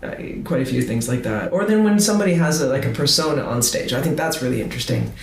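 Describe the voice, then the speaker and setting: A man's voice: medium pitch, expressive, fast, creaky. A man talking as a guest on a podcast in casual conversation, chatty and thinking aloud. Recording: roomy sound with faint background noise.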